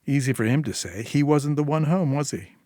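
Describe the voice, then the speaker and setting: A male narrator reads literary fiction at a medium pitch for a man. The recording is dry and close, with hardly any room around the voice. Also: lively intonation, a little fast, darkish tone, dry recording. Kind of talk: scripted short story narration.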